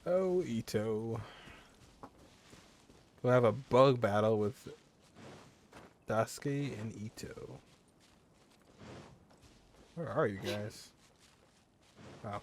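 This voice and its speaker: A man's voice: on the low side, very slow, pauses often, darkish tone, a little hiss or hum. A man giving casual gameplay reaction commentary as a streamer, with amused, offhand asides. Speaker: gaming streamer.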